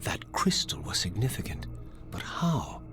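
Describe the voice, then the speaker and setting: Brooding undead wraith, low voice, theatrical game narration, formal, vengeful, loud music behind. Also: male voice, sing-song, a little slow. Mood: wary, reflective. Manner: solemn, formal, eloquent. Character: brooding undead male wraith, former vampire and priest, vengeful. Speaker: narrator and protagonist. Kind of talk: theatrical first-person scripted narration.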